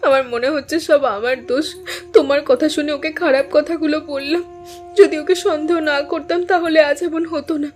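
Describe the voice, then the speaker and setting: A woman's voice: high-pitched, steady tone, brisk, a little mellow, soft background music, close to an everyday voice. A woman playing an earnest, anxious young village sister in a cartoon drama, speaking in an emotional, pleading way. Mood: anxious, tearful.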